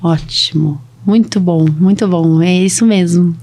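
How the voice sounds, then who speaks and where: A woman's voice: fairly low pitch, unhurried, dark tone. A woman with a Brazilian Portuguese accent, a doctor hosting a podcast, chatting casually and often checking in with tag questions. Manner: informal, frequent tag questions. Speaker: podcast host, allergist doctor.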